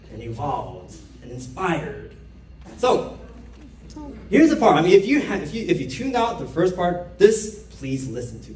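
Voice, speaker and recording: A man's voice: a little high, darkish tone, slight background noise. A young man from Korea giving a playful stage talk to a live audience and asking the crowd questions. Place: dark theater stage with an audience. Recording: roomy stage sound heard from far off.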